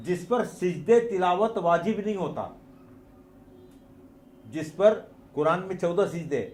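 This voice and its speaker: A man explains his argument off the cuff in an emphatic, repetitive way. His voice is high, and the room around him echoes noticeably. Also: measured pace, darkish tone. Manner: emphatic, repeating phrases for weight.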